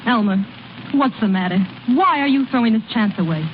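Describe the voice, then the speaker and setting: An earnest young small-town woman played by an actress in a vintage radio drama. Her coaxing, direct voice is fairly high, coming through a thin, phone-like old broadcast. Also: animated, a little fast, close to an everyday voice. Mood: earnest, urging. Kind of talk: 1930s-40s radio drama acting. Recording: thin, narrow-band vintage radio recording, faint noise.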